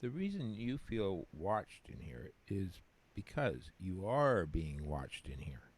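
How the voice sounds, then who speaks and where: A man playing a patient, deadpan companion to an immortal, in a measured scripted audio drama style. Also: low-pitched, husky, exaggerated voice.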